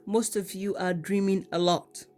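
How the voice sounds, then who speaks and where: A woman with a cold giving a casual tarot card reading, talking in a relaxed way with many ums and repeated "okay?". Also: mid-range voice, measured pace, soft background music. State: has a cold. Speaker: tarot reader.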